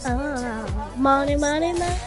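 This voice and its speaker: A woman's voice: high-pitched, lively intonation, measured pace. A young woman live-streaming, chatting casually with other players in a slangy way and repeating phrases insistently, with music behind her.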